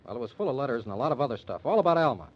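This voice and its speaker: A man's voice: medium pitch, very animated, rapid-fire, husky, dull audio. An actor playing an earnest, confident young man in old-time radio drama style, intense and assertive. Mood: insistent.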